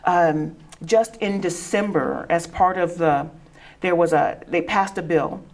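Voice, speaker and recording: This is a middle-aged woman with a lowish voice, talking earnestly on a panel as an educator. She stumbles, repeats herself and restarts her phrases as she thinks aloud. Her laughter comes easily and she speaks in a conversational way. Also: slow.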